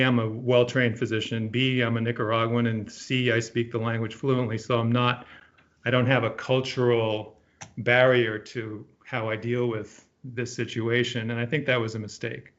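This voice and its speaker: A man's voice: medium pitch, little pitch movement, moderate pace, a bit bright. Earnest, reflective man, slightly muffled. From Puerto Rico. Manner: earnest, thoughtful, many ums and restarts. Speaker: guest, emergency physician. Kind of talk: reflective interview conversation.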